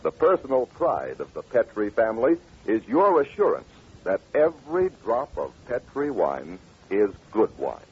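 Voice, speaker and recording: A man's voice: mid-range voice, wildly swooping pitch, moderate pace, husky. A man announcing and hosting in a scripted 1940s radio style, with a polished, salesmanlike delivery. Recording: muffled old radio recording.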